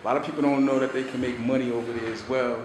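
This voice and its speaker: A man interviews in a relaxed, slangy way as a show host. His mid-pitched voice rings in an echoey room, a little away from the microphone, with noise around him. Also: rapid-fire, far from the mic. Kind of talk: casual documentary-style basketball interview.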